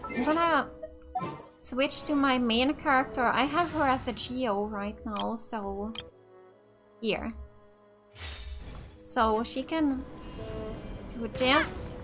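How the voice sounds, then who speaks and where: A woman from Finland with a high voice and an unhurried pace talks through a video game in a casual let's play style. She thinks out loud and reacts to what happens, with game music clearly playing behind her. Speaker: gaming YouTuber.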